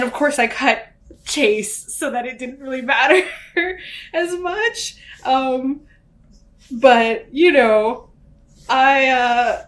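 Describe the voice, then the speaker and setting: A woman's voice: on the high side, fairly bright tone. A young woman chatting casually while she cooks, laughing often and using lots of fillers. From Canada. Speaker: author and vlogger. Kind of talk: casual chat while cooking.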